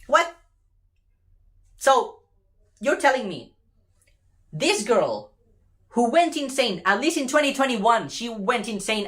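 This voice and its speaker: A woman's voice: medium pitch, a bit bright. A woman delivering an impassioned opinion rant in an emphatic, exasperated way, repeating phrases for effect and swearing casually. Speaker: fan commentator.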